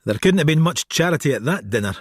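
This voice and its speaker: A man's voice: fairly high pitch, expressive, fast, breathy edge, no room sound. An actor playing a brooding, sardonic detective, narrating his case in a radio drama.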